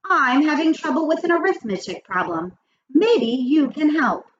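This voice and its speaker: A woman's voice: sits high, sing-song, normal speed, roomy sound, far from the mic, voice pushed up. A woman voicing a friendly, scatterbrained female primary teacher from a storybook, talking earnestly to a child. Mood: relieved, friendly. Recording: muffled lo-fi with faint noise.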